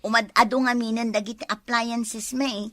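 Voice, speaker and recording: A woman playing a friendly, hospitable housewife in an Ilocano radio drama, chatting warmly with the neighbours. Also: fairly high pitch, little pitch movement, normal speed, no room sound, close to an everyday voice.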